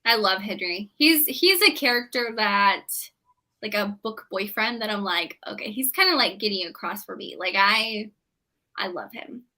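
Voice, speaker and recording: A woman's voice: medium pitch, lively intonation, moderate pace, pushed and bright. A woman chatting casually on a book club live show, peppering her talk with "like".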